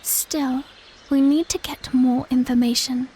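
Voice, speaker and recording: A woman playing a scholarly, caring young fantasy princess in an audio drama, with an expressive delivery. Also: sits high, unhurried, a little hiss or hum, poor audio. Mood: thoughtful. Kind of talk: cinematic audio drama acting.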